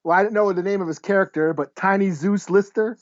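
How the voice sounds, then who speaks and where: A man's voice: high voice, quick pace, dry recording. A man co-hosting a casual podcast, chatting in a laid-back, wry way. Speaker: podcast co-host. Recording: slightly dull, close dry recording.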